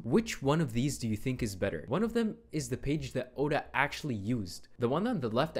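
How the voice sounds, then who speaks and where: A young man talks through a manga video essay with casual enthusiasm. His slangy delivery sits close on a handheld mic. The recording is from a small room indoors. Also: medium pitch. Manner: enthusiastic, casual slang, pointing things out on screen. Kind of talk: scripted video essay narration. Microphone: handheld dynamic mic close to mouth.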